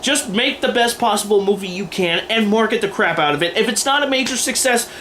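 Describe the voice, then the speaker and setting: A man's voice: high-pitched, expressive, brisk, pushed and bright, slight background noise. A man delivering opinion commentary to camera in an emphatic, wordy, informal way, like a host going through a list. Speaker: video host and aspiring filmmaker.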